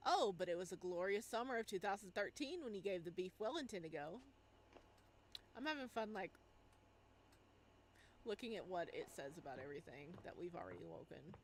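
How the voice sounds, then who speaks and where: A woman doing playful, expressive gameplay commentary, slipping between reading text aloud and exclaiming in singsong asides. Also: lowish voice, brisk, pauses often, slight background noise. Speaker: game streamer.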